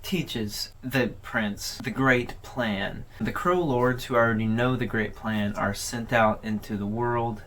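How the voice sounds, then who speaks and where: A man's voice: mid-range voice, takes it slowly, few pauses, a bit bright, slight background noise. A man hosting a video overview, giving casual, conversational commentary in an informal way. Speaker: host of a horror video overview channel.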